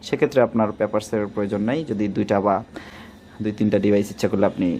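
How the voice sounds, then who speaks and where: A salesman with a Bangladeshi Bengali accent rattles through a product demonstration at a very quick clip. His voice sits on the low side, and he repeats details in a steady sales patter. A faint hiss runs under his voice. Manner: rapid, repetitive sales talk, reels off phone numbers. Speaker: shop salesman.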